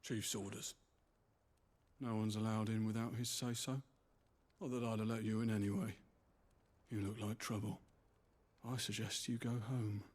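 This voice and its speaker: An actor playing a surly, officious village gate guard with a husky voice, curt and dismissive, in a video game. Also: male voice, lowish voice, level tone, moderate pace, long pauses, close to an everyday voice. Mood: hostile.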